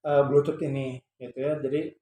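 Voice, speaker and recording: A man's voice: mid-range voice, flat delivery, normal speed, mellow. A man chats casually as a vlogger, explaining a product in a hesitant, repetitive way. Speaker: vlogger and parent showing a product. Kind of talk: casual explanatory vlog chat. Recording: echoey room with faint background noise.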